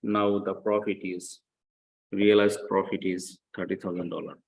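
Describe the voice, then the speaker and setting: A man with a slightly low voice gives a classroom lecture. He explains in a didactic, step-by-step way, repeating himself for emphasis. He often stops to check understanding with brief questions. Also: level tone, moderate pace, vocal fry, rough recording. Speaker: accounting lecturer.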